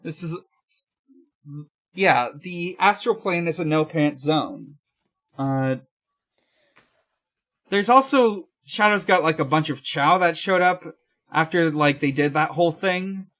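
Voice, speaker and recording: Slow-paced, halting podcast host. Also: medium pitch, a bit bright. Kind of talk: casual comic recap chat.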